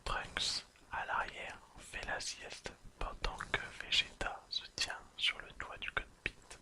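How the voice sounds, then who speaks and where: Slow, fully whispered ASMR reading. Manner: soft, unhurried, soothing, whispering throughout. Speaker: ASMR creator.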